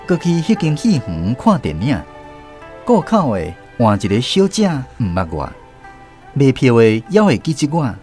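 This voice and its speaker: A man with a Taiwanese accent narrates a script for radio. His medium-pitched voice moves in a lively way. Soft music sits underneath. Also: moderate pace. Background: faint music.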